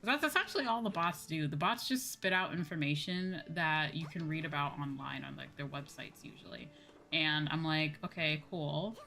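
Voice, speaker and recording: A woman's voice: sits low, animated, moderate pace, vocal fry, sharp tone, faint music behind. A woman livestreamer chatting casually while gaming, blunt and swearing freely, repeating her points for emphasis. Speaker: livestream host, VTuber.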